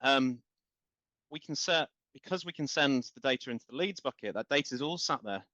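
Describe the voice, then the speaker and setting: A man talks with passion in long, rambling explanations, stumbling over repeated words as he builds his point. His voice is mid-pitched. The recording is dry and dull, with a lo-fi edge. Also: expressive, a little fast, pushed and bright, no room sound. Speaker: guest, marketing company founder. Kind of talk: business podcast interview.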